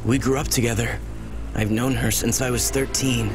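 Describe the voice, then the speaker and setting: A man's voice: mid-range voice, fairly even intonation, normal speed, lightly acted. Guy doing confused lovelorn old soul from a video game, naive n earnest, music under. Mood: wistful, confused. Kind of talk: video game dialogue acting.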